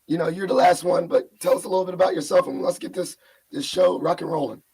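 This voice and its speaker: Raspy, gravelly male host on a video call, upbeat and rambling, slightly high voice. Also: quick pace, a little mellow. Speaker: podcast host. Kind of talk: casual chat.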